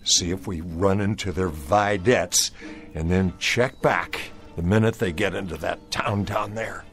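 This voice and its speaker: An actor playing a steely Confederate scout who speaks in a gruff, commanding drawl in an old radio drama. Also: male voice, low voice, fairly even intonation, unhurried, fairly bright tone, faint music behind. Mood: calm. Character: steely Confederate scout, young adult man, stern and dutiful. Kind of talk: 1960s radio drama acting.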